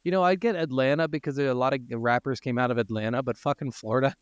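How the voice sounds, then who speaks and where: A man's voice: medium pitch, fairly even intonation, quick pace, clean tone, dry recording, clean studio sound. A man co-hosting a podcast in casual banter, talking in a joking, sweary way. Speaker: podcast co-host. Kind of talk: casual banter between co-hosts.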